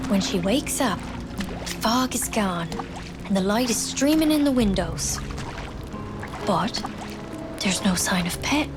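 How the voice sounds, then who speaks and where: A woman playing a young, grieving wartime nurse in a game drama, soft and wistful, with a Newfoundland accent. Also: mid-range voice. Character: grieving wartime nurse, sister of a fallen soldier. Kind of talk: dramatic game cutscene storytelling.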